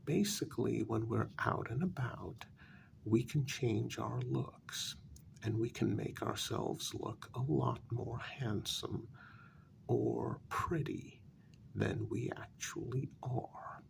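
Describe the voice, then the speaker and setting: Man playing a slow, gravelly, soft-spoken old vampire. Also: mid-range voice. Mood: patient, explaining. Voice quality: gravelly, breathy soft voice dropping into whispers. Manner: slow, deliberate, dryly condescending. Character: older, vain, weary, sarcastic gentleman vampire. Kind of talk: soft-spoken ASMR role-play.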